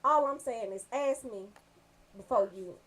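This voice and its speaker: A woman with a Southern US accent telling comedic stories as a radio host, brash, animated and freely swearing. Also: fairly high pitch, a little slow. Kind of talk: comedic storytelling on a casual talk show.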